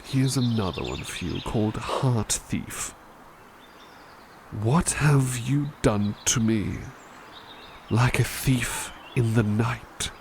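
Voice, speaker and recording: A man's voice: fairly low pitch, a little mellow, a little hiss or hum. A man playing a kind, courteous butler who reads poems aloud and muses on them in a formal, polite way for an ASMR roleplay. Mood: reciting. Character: kind, courteous male butler reading to listener.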